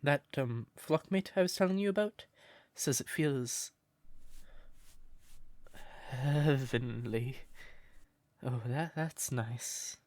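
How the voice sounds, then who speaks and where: Casting: male actor as shy crow in human form, sweet and devoted, mid-pitched, unhurried, gentle stammer, for intimate roleplay audio. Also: no room sound, close to an everyday voice. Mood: shy, curious. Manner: hesitant, stammering, gentle and breathy. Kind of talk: soft roleplay audio, intimate character acting.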